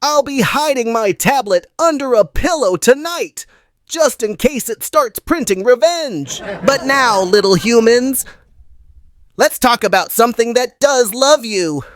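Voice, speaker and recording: A woman's voice: fairly high pitch, animated, unhurried, dry recording. A woman voicing an easygoing, wry puppet host of a children's show.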